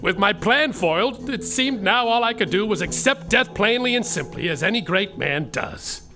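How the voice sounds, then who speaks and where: An actor playing a cocky, swaggering space detective who narrates his own story deadpan and pleads frantically, on a live theater stage. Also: high voice, moderate pace. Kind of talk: comic musical podcast narration. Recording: guitar music behind a live stage.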